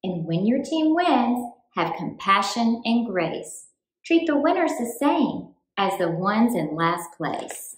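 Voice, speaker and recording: A woman reading a children's book aloud in an expressive, deliberate storytime style for young children. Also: fairly high pitch, roomy sound, rough recording. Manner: clear, deliberate, animated delivery for young children.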